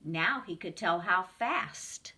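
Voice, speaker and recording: A woman, a preschool teacher, reading a picture book aloud to children in a slow, expressive storytelling voice. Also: lowish voice.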